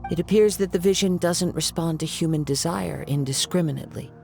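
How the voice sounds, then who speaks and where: An actor playing a calm, perceptive young wandering samurai who speaks in a measured, formal way. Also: male voice, a little high, vocal fry. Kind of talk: video game voice acting. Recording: soft game music behind.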